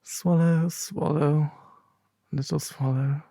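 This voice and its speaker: Breathy, sorrowful prince statue voiced by a man. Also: on the high side, fairly even intonation, takes it slowly, warm tone, dry recording, full character voice. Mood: pleading. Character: sorrowful, compassionate prince statue, gently pleading.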